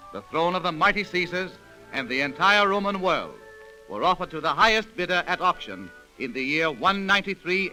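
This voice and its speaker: A man's voice: on the high side, pushed and bright, rough recording. A man narrating a scripted program in a dramatic, emphatic 1930s radio style. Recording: vintage 1930s radio recording.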